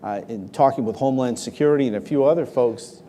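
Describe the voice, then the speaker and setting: A man's voice: mid-range voice, fast, dark tone. A man hosting a live interview before an audience, introducing his guest and steering the questions in a formal way. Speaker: talk show host. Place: large hall with live audience. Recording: long hall reverb.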